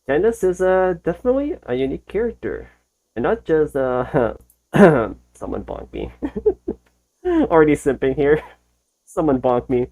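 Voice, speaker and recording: A woman's voice: sits low, very animated, unhurried, long pauses, mellow. A woman hosting a reaction video with casual, amused commentary and hesitant musing. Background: faint music.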